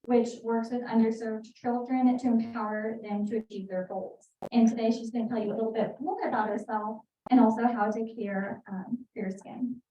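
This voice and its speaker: A woman's voice: fairly high pitch, steady tone, normal speed, hardly any pauses, dark tone. A woman host reading a formal introduction of a guest speaker. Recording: distant mic, roomy, dull, faint noise.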